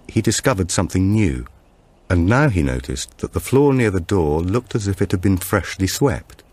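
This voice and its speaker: A man reading a suspense novel as an audiobook narrator, in a measured storytelling manner. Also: low-pitched, a little mellow, breathy edge.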